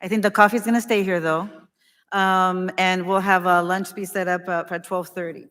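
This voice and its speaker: A woman's voice: lowish voice, steady tone, normal speed, a bit bright, clean tone. A woman emceeing a conference, making loose, chatty announcements and introducing a speaker. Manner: chatty, loose, with many fillers. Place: conference hall. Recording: long hall reverb.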